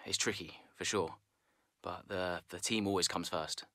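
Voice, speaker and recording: An actor playing a guarded, diplomatic racing driver in a game, measured and hesitant like someone media-trained. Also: male voice, deep voice, steady tone, bright, forceful tone, dry recording. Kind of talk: naturalistic game cutscene acting.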